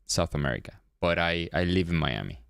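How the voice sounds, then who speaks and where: A man from Venezuela, hosting a day-trading livestream and chatting casually with viewers, often repeating words and trailing off low. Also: lively intonation, a little fast, vocal fry, a bit bright, clean tone, no room sound, clean studio sound.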